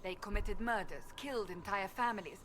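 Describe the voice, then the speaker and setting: An actress voicing a proud, earnest warrior woman of the church in a video game, speaking formally and with measured gravity. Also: mid-range voice, a bit bright, slight background noise, natural voice. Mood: grave warning. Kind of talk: video game dialogue acting.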